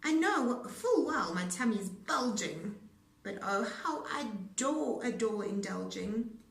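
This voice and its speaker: Woman as boastful wolf villain, gloating, lively pitch, storybook style, distant roomy recording. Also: slow pace, gravelly, heavily acted. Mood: greedy, boastful. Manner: gloating, menacing, theatrical. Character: sly greedy boastful male wolf villain. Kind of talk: storybook read-aloud. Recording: roomy echo, distant mic.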